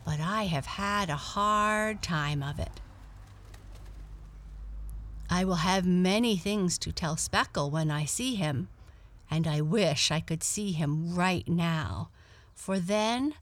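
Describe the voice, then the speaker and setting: A woman's voice: on the low side, takes it slowly, pauses often, no echo. A woman voicing a polite, adventurous female cat in a children's storybook, kindly, earnest and a little homesick. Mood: wistful.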